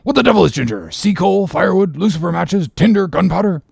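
A man voicing a loud, mocking sailor mate, full of sarcastic bluster. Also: sits high, animated, fast, vocal fry, slightly rough, no echo, studio quality, voice pushed up, exaggerated voice. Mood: indignant.

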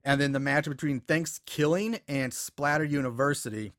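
A man's voice: a little high, moderate pace, fairly bright tone, no room sound, clean studio sound. A man hosting a podcast in a casual, profane chatting style. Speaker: podcast host. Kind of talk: casual chat about bad horror movies.

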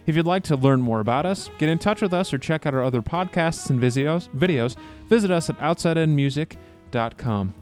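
A man's voice: a little high, expressive, fairly quick, darkish tone, soft background music. A man hosting a podcast and interviewing a musician in a friendly, chatty way. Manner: friendly, chatty, laughs easily. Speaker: podcast host. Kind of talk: conversational interview.

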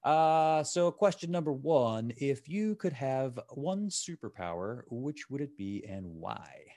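A man's voice: medium pitch, very animated, slow, dark tone. A man hosting a podcast, chatting casually with a guest, joking and swearing offhandedly. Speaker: podcast host. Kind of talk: casual interview chat.

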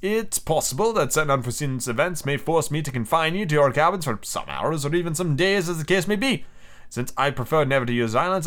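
A cold, commanding middle-aged ship captain, as a man reading aloud puts him on. He speaks in a formal, unbending way, sitting higher than the reader's own voice. His words come measured and distant. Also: natural voice.

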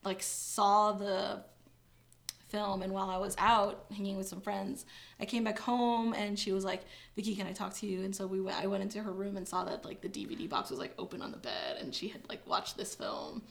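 A woman's voice: mid-range voice, level tone, brisk, gravelly. Young woman, casual interview guest, chatty, filler-heavy, laughs easily. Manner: chatty, many fillers, laughs when uncomfortable.

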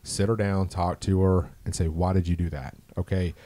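A middle-aged man with a deep voice, talking earnestly and slowly about raising his daughter in a casual chat. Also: fairly even intonation, mellow, no room sound. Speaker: guest, father.

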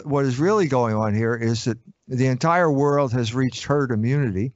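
A man's voice: medium pitch, dry recording. Emphatic male guest, dry muffled sound. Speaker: interview guest. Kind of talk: interview answers. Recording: dry, dull lo-fi audio.

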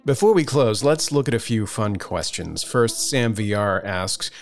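A man in his fifties, a pastor, answering listener questions in a measured, explanatory way with light humor. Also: fairly low pitch, lively intonation, soft background music. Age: 50s.

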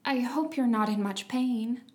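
Woman playing a young storybook girl, courteous, gentle, earnest, a little timid, somewhat high pitch. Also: animated, measured pace. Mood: kind, concerned. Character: polite, kindly young girl, timid and soothing.

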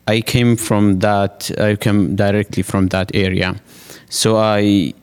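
A man in his thirties from Iraq, telling his personal story haltingly at a live panel event. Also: on the low side, darkish tone, clear, resonant tone. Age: 30s. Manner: halting, with frequent restarts and fillers. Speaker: panel speaker.

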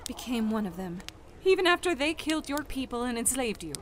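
A fiery, defiant young elf woman from a fantasy audio drama. Her voice sits slightly high and she talks in a pointed, challenging way, with faint noise behind her. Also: sing-song, a little fast.

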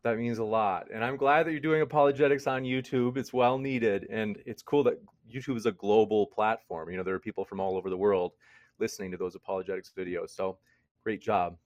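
A man's voice: on the low side, steady tone, brisk, dry recording, highs cut off. A man in his mid-thirties speaking as an interview guest in a conversational, reflective way, thinking aloud. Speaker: interview guest, debate channel host. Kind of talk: conversational personal testimony.

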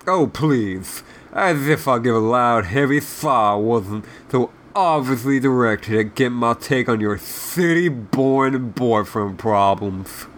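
A man's voice: mid-range voice, slow, hardly any pauses, natural voice. A man voicing a surly, self-loathing sprite guide who rambles sarcastically and swears as he insults, in a comic read-aloud. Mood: exasperated. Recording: clearly noisy background.

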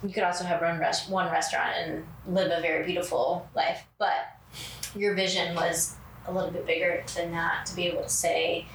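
A woman hosting a podcast in a casual chat, with a soothing, even cadence and many fillers. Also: fairly low pitch, creaky. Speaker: podcast host. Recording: roomy, distant mic, faint noise.

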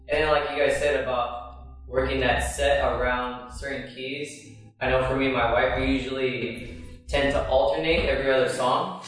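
A man's voice: mid-range voice, fairly bright tone. A man who plays guitar in a worship band, explaining his setup at length in a workshop discussion. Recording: echoey room, mic far off, faint music.

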